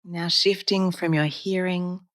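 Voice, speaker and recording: A woman guiding a relaxation practice as a yoga therapist, speaking in a calm, soothing, unhurried manner with pauses. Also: fairly low pitch, dry recording, clean studio sound. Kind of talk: guided meditation narration.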